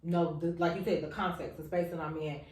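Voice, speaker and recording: Casting note: woman podcast host, animated and loud when excited, laughs a lot, roomy echo. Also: deep voice, brisk, slight background noise. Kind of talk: casual podcast chat.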